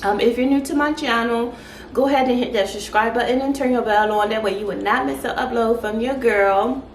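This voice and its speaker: A woman hosting a casual home cooking vlog, her voice stuffy and congested, chatting in an unhurried way with lots of fillers. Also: on the high side, a little hiss or hum, low-quality recording. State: stuffy, congested voice after a sore throat. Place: home kitchen.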